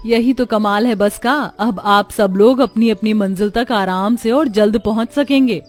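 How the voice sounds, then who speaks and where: A woman's voice: medium pitch, measured pace, natural voice. A woman playing a kind, educated young village daughter-in-law in a cartoon, speaking patiently and encouragingly. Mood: pleased.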